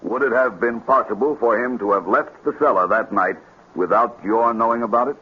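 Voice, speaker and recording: An actor playing a formal, probing courtroom prosecutor who questions precisely, in 1950s radio drama style. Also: male voice, medium pitch, a little fast, husky, lightly acted. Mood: pointed. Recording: muffled, noisy vintage radio recording.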